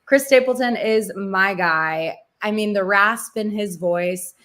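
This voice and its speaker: A woman in her twenties, a singer-songwriter guest with a slightly raspy voice, chatting casually and saying "like" a lot. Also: medium pitch, takes it slowly, fairly bright tone. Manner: chatty, uses fillers like "like". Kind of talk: casual interview chat.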